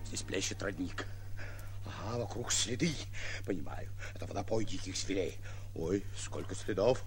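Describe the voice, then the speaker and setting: An actor playing a boastful but cowardly lion hunter in a theatrical 1940s radio comedy, stammering when frightened. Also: male voice, deep voice, normal speed. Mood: hushed, solemn. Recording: old 1940s radio recording.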